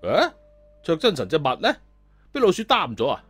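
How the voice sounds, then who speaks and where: Guy doing childish full-of-himself dude, high pitched drawn out hollering, audio story. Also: wildly swooping pitch, normal speed, a bit bright, breathy edge, no room sound, soft background music, full character voice. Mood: puzzled. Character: loud, childish, self-important male psychiatric patient calling for his friends.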